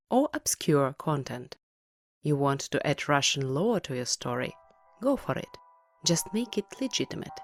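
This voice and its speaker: A woman hosting a podcast, reading a scripted explanation in a measured way. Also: low voice, darkish tone, slight background noise. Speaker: podcast host.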